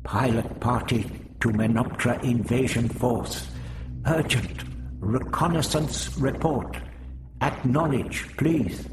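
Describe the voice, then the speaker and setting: Casting: man playing a firm, urgent winged alien commander, slow and deliberate. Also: mid-range voice, heavy background noise, voice pushed up. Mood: resolute. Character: winged insect alien male leader, resolute.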